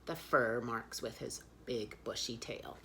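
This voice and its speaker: A woman's voice: very deep voice, fairly even intonation, slow pace, a bit bright, slight background noise. A woman reading picture books aloud expressively as a children's storyteller.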